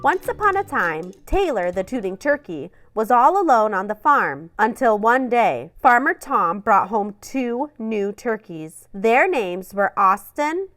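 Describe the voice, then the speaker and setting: Female kids' storybook narrator, slightly high register, highly animated melody, dramatic emphasis, expressive read-aloud host style. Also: a little slow, hardly any pauses, soft background music.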